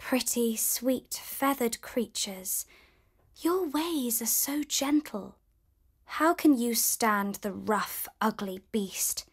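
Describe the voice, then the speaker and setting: A woman reading as a gentle young storybook heroine with a soft, hushed voice. She speaks slowly and sincerely, a touch higher than usual. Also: lively intonation, slightly rough, full character voice. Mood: earnest.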